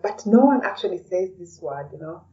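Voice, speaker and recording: A woman's voice: on the low side, lively intonation, brisk, mellow. A woman talking casually as an interview guest, chatty and hesitant, often adding "you know.". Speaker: podcast guest, blogger. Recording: dull, distant, faint background noise.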